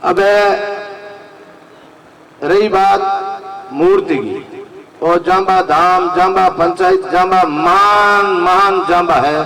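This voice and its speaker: A man's voice: sits high, wildly swooping pitch, slow, gravelly, long pauses. A man with a Rajasthani accent giving an emphatic public speech to a community gathering, making repeated appeals. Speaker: community association leader addressing a gathering. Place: large fair gathering. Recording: distant microphone amid an open crowd.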